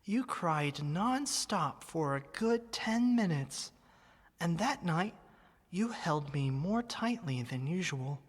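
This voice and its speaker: A woman playing an affectionate, wistful teddy bear come to life in an ASMR roleplay, speaking gently and expressively to an old friend. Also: fairly low pitch, expressive, slow, breathy edge, big-room sound, full character voice. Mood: tender, bittersweet.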